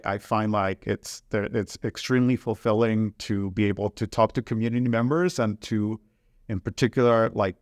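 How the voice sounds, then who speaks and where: Earnest Ecuadorian man, lowish voice. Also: dry recording, studio quality. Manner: earnest, hesitant with repeated words. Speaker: guest, environment director. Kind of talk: casual interview conversation.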